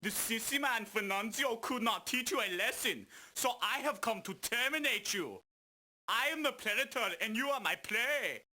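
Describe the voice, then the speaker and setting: A man's voice: extremely high pitch, expressive, moderate pace, sharp tone, exaggerated voice. A man voicing a pompous, boastful muscle-bound game villain, making grandiose taunts. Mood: threatening. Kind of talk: exaggerated video game cartoon acting.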